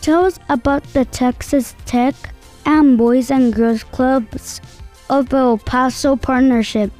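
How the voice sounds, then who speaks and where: A woman interviewing a guest with brief, measured questions. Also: sits high, steady tone, darkish tone, faint music behind.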